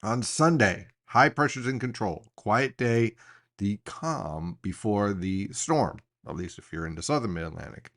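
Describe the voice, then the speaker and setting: A man's voice: lowish voice, expressive, unhurried, a bit bright. A man presenting an informal weather briefing as a forecaster, explaining things and stressing key points.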